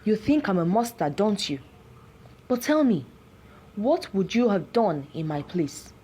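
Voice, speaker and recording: Woman voicing an anguished, slow-spoken cursed village man. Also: mid-range voice, sing-song, warm tone, slightly rough. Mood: anguished, pleading. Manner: grave, dramatic, pleading.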